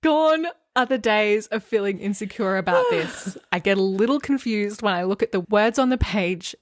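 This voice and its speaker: A woman's voice: mid-range voice, moderate pace, dry recording. A young Australian woman co-hosting a casual comic podcast, making emphatic, mock-dramatic declarations. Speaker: podcast co-host.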